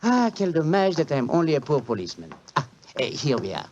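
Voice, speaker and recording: A suave French policeman with a lively, varied delivery and a French accent. The old radio recording is dull and faintly noisy. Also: fairly high pitch, fast. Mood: genial, teasing. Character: genial, worldly French policeman, obliging and wistful. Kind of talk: 1940s radio acting.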